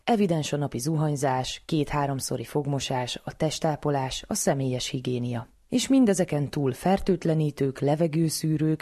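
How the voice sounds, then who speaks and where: A woman's voice: low voice, dark tone. A woman presenting a radio programme, reading scripted narration.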